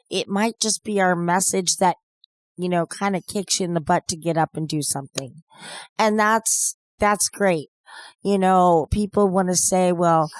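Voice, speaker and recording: Low-voiced woman, reflective and measured. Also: no echo. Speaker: podcast co-host. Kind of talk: casual podcast talk.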